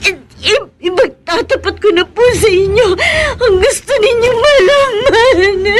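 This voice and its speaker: A woman's voice: very high voice, measured pace. A woman voicing a frightened young village woman who has been hexed, stammering and pleading through sobs in a radio drama. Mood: sobbing.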